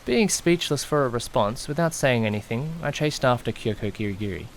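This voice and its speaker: A man's voice: mid-range voice, expressive, brisk, slight background noise, lightly acted, poor audio. A man playing an anxious, easily panicked young man who narrates his own misadventure in an audio drama, dramatic and questioning himself. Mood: tense narration. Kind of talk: first-person audio drama narration with acted dialogue.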